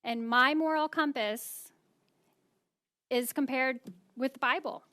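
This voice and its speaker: Bright-voiced woman testifying haltingly. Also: high-pitched, dry recording, clean studio sound. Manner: halting, repeating herself under questioning. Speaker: witness testifying at a hearing. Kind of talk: hearing testimony.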